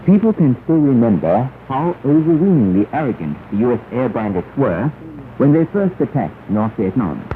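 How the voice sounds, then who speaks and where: Through a thin shortwave signal thick with noise, a man reads 1960s propaganda news. He is formal and emphatic, placing each phrase deliberately. His mid-pitched voice rises and falls in lively swings. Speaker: radio news announcer. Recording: noisy 1968 shortwave radio, narrow band, rumble.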